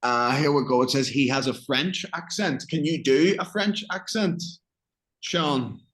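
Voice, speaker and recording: A man with a Northern Irish accent talks a student through English vocabulary, pausing to give examples and ask questions. His pace is slow and unhurried, and his voice sits at a middling pitch. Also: a bit bright. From Northern Ireland. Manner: patient, explains slowly with examples and questions. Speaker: English teacher. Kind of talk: one-on-one English lesson.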